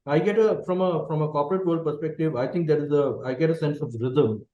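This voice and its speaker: Indian guy, bengali native, mellow low-key voice, thinking out loud, echoey call audio. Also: fairly quick, lo-fi sound. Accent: Indian English. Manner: thoughtful, checks in with a frequent "okay". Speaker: guest, company managing director. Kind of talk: reflective interview answers.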